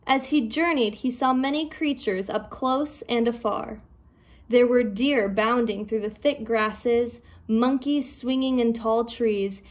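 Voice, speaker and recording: A woman narrates a children's storybook in a fairly high voice. She takes her time, with a very unhurried pace and measured, expressive delivery. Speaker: storyteller reading a children's book aloud.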